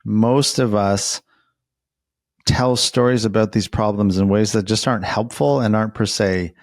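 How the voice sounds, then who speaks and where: A man's voice: on the low side, fairly even intonation, a little slow, no room sound. A man interviewing in a casual, conversational way, reflective and rambling, often hedging as he goes. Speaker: interviewer who works as a therapist.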